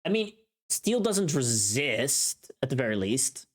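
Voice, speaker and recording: A streamer with a medium-pitched voice chats casually, rambling and thinking aloud. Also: very animated, a little slow. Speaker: live streamer. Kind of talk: casual livestream chat.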